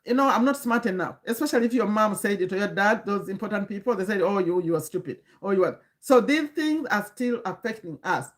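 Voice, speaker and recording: A woman's voice: medium pitch, lively intonation, brisk, hardly any pauses. A woman in her fifties gives an earnest, lecturing livestream talk to her chat, restarting sentences and breaking off to greet viewers. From Uganda. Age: 50s. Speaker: livestream host and community coach.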